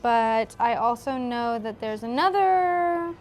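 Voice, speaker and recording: A woman's voice: on the high side, animated, slow. A woman taking part in a tabletop role-playing game, casually talking through what she will do.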